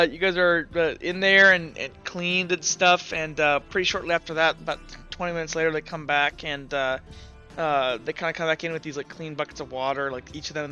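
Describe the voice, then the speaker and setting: Bright-voiced, halting male game master. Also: on the high side, lo-fi sound. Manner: halting, casual, profane. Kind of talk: improvised tabletop game narration.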